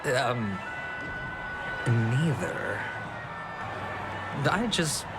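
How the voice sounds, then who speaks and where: A man voicing a suave young gambler in a video game, smooth, casual and wryly amused as he probes for secrets. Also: medium pitch, sing-song, extremely slow, over loud music, exaggerated voice. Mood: casual, probing.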